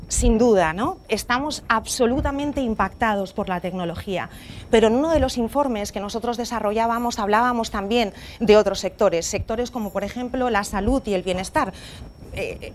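A woman with a Castilian Spanish accent presents to an audience in a university auditorium, speaking into a mic. Her delivery is conversational, full of small restarts and asides. She checks in with the room through rhetorical questions. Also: mid-range voice. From Spain. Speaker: keynote presenter. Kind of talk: conference presentation.

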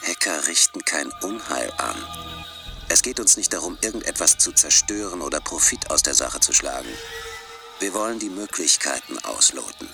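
A man's voice: very deep voice, animated, normal speed, gravelly, exaggerated voice. An actor playing a worldly, principled male hacker, telling his story plainly and with assurance in a radio docudrama. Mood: firm. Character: worldly, principled male hacker recounting phone phreak lore. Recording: loud music bed behind voice.

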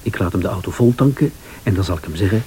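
An actor playing a scheming, impatient, smooth-talking husband in a radio thriller, with a Flemish accent. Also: male voice, low voice, animated, fast talker, mellow. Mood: calm, coaxing. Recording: radio drama with clear tape hiss.

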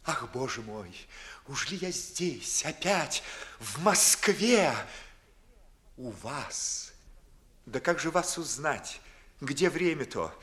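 An actor playing an ardent, witty young gentleman in a classical verse comedy for audio, declaiming theatrically and with mockery. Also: on the high side, big pitch swings, slow, long pauses, exaggerated voice. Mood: nostalgic. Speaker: stage actor.

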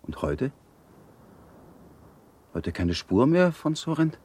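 An actor playing a composed older baron and husband in a literary radio play, measured and dryly ironic, with a husky, mellow voice. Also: male voice, mid-range voice, very animated, dry recording, heavily acted. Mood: gently chiding.

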